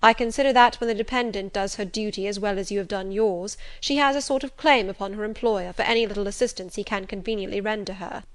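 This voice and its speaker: A woman voicing an imperious, teasing middle-aged gentleman in an audiobook, with formal, pompous and emphatic delivery. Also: mid-range voice, fast, hardly any pauses, natural voice. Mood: brisk, formal. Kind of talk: audiobook character dialogue.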